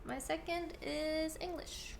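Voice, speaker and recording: A woman's voice: high voice, steady tone, takes it slowly, creaky, slight background noise. A young Norwegian woman with a Norwegian accent streaming a video game, chatting casually and answering chat, a little self-deprecating. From Norway.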